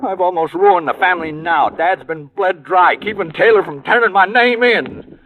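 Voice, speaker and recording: A man's voice: high voice, moderate pace, fairly bright tone, slight background noise. A man acting a desperate, nervous young American fugitive in an old-time radio crime drama, stammering and pleading. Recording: muffled old radio broadcast recording.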